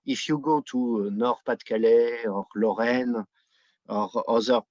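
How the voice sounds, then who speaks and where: A man's voice: mid-range voice, steady tone, unhurried, a little mellow, no room sound. A Frenchman with a French accent, speaking English as a second language in a discussion, hesitating over words and repeating them for emphasis. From France. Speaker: podcast guest, book editor. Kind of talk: conversational panel discussion. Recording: slightly dull, lo-fi remote audio.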